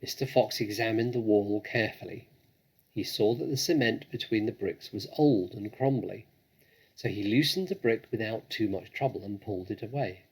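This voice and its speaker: A man reading a children's story aloud as an expressive narrator, with deliberate, dramatic phrasing. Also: on the low side, husky, poor audio. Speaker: story reader.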